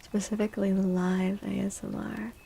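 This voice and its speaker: Female ASMR streamer, soft and breathy near-whisper, fairly even melody with some creak, casual and gentle, close-miked. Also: mid-range voice, normal speed, slight background noise. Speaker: live streamer hosting chat. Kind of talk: soft-spoken ASMR, casual live chat.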